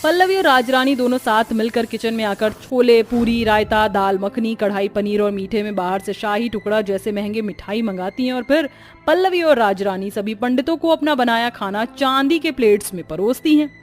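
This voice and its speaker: A woman's voice: on the high side, moderate pace, faint music behind. A woman giving scripted narration for a cartoon story.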